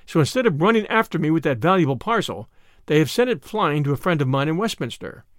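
A man's voice: on the high side, very animated, fast talker, dry recording, clean studio sound. A man voicing a small, mild, shrewd country priest in a storybook, speaking simply with a timid, apologetic manner. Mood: unaffected, rueful.

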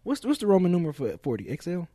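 Lively, high-pitched man hosting a gaming podcast. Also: racing, no echo, studio quality. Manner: slangy, jokey, repeats phrases. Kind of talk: loose casual group chat. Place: podcast studio.

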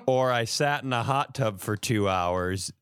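A man's voice: mid-range voice, a little slow, fairly bright tone, clear, resonant tone. A man co-hosting with casual comic banter, dropping wry asides and reactions. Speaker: podcast co-host.

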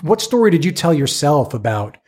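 A man's voice: on the high side, very animated, fairly quick, a little mellow, slightly rough. A man in his fifties hosting a podcast, asking curious, probing questions and rephrasing them as he goes. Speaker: podcast host. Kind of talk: casual interview questions.

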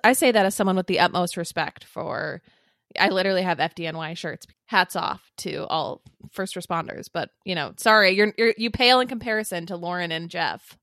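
A woman's voice: fairly low pitch, fairly quick, a bit bright, dry recording, clean studio sound. A woman co-hosting a podcast in casual comedic chat, tongue-in-cheek and mock-earnest. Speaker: podcast co-host.

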